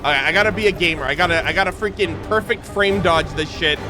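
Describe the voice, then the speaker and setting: A man doing excited live gameplay commentary for his chat, exclaiming and swearing often in gamer slang. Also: fairly high pitch, fast. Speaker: game streamer talking to chat. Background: video game music and combat sounds.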